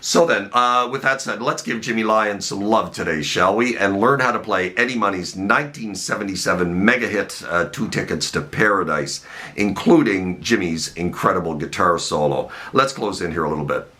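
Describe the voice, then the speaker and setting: A man with a cold and a hoarse voice, teaching a guitar lesson in a relaxed way with lots of "uh" fillers. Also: low voice, normal speed. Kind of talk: guitar tutorial. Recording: guitar and faint backing music behind.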